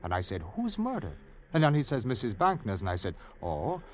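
A sly Irish rascal in a vintage radio play. His voice swings up and down in a lively lilt as he wheedles and needles with false friendliness, telling things the long way round. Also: mid-range voice, fairly quick. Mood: soothing, needling. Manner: wheedling, lilting, roundabout storytelling. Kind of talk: old radio drama acting.

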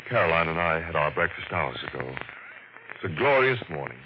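A smoothly menacing husband in a vintage radio thriller. His low voice swings expressively as he coaxes and condescends with false tenderness. The old recording is noisy. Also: very animated, fairly quick, muffled recording. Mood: soothing, patronizing. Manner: coaxing, condescending, controlled. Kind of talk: 1940s radio drama acting.

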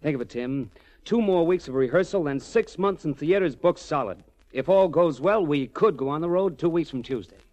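A man's voice: a little high, lively intonation, moderate pace, darkish tone, natural voice, poor audio. An actor playing an enthusiastic male vaudeville manager in 1940s radio style. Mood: pleased.

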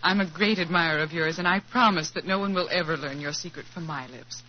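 A woman's voice: fairly low pitch, quick pace, natural voice. An actress playing a kindly, plucky young American woman who is a medical missionary, earnest and reassuring, in a 1940s radio drama. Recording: dull, noisy 1940s radio recording.

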